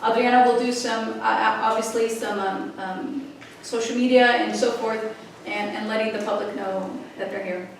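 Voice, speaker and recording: A woman's voice: fairly high pitch, steady tone, moderate pace, creaky. A woman giving an informal executive report to a board, hesitant and often restarting her phrases. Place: indoor board meeting room. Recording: very echoey room, distant mic, faint noise.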